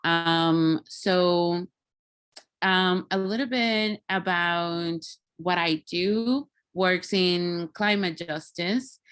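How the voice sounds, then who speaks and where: A woman giving an informal online presentation as a guest speaker, thoughtful and halting, with many small filler phrases. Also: lowish voice, a bit bright, smooth. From Puerto Rico. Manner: halting, thoughtful, peppered with "like" and "you know". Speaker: guest speaker, climate scientist. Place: video call.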